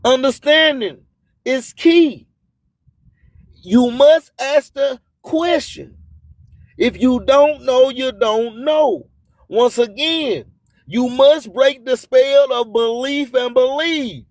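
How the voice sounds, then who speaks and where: A man's voice: extremely high pitch, takes it slowly, dry recording. A man hosting an internet radio show, delivering a motivational spoken-word monologue in a preachy manner full of repeated, rhyming catchphrases.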